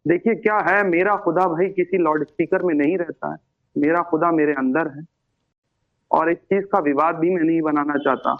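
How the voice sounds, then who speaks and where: Through a narrow, phone-like feed, a man with a slightly high voice speaks on a television panel. He is oratorical, stacking parallel phrases to make his points. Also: fairly even intonation, moderate pace. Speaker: political debate panelist. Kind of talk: rhetorical speech on a TV debate.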